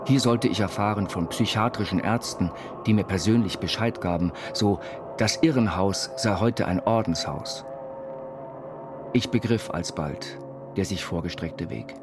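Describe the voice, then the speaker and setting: Low-voiced eccentric inventor over loud music. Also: male voice. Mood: grave, plain recollection. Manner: measured, quaint formal phrasing. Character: eccentric self-taught inventor recounting his institutionalized life. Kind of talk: scripted autobiographical narration in an audio biopic.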